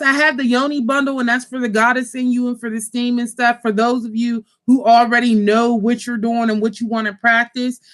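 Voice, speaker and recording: High-voiced, chatty woman selling spiritual kits. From Pittsburgh, USA. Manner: animated, chatty, swears freely, addresses viewers as y'all. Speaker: spiritual kit seller and channel host. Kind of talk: casual livestream chat to viewers.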